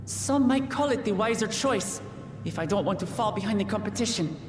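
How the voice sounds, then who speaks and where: A woman's voice: mid-range voice, expressive, a little fast, natural voice. A woman voicing an earnest, resolute young royal heir in a video game. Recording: long echo, game music behind.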